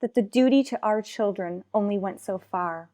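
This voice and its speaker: A woman acting as an unhappy wife and mother in a radio soap opera. Her voice is soft-edged and mellow at a medium pitch. She speaks earnestly and measures out her phrases with dramatic pauses, full of anguish. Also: close to an everyday voice. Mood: regretful, yearning.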